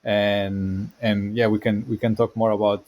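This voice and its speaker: A man from Spain with a Spanish accent, a guest in a casual podcast chat on a video call, explaining his career in long, relaxed runs. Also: on the low side, measured pace.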